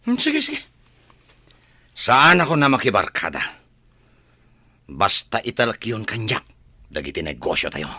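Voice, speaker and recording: A man playing a resentful, scheming adopted son in an Ilocano radio drama, with a raspy, forceful, drawling delivery. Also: medium pitch, huge pitch swings, measured pace, long pauses, full character voice. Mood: resentful, demanding.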